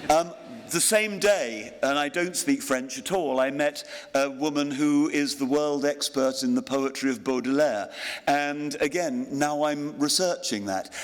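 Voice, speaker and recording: A man in his sixties giving a graduation address at a ceremony, self-deprecating, with pauses and ums. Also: mid-range voice. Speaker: honorary graduate giving a speech. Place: large hall at a graduation ceremony. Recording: long hall reverb.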